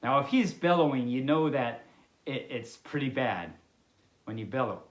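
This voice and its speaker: A woman reading a picture book aloud, breaking off for playful, chatty, halting asides. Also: rumbling low, expressive. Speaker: storyteller, host. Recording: echoey, reverberant room sound.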